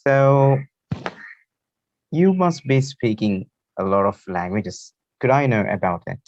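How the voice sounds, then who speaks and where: A man's voice: mid-range voice, sing-song, slow pace, dry recording. A man with an Indian accent hosting a talk show over an online call, asking questions in polite, formal wording. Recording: muffled, lo-fi internet call.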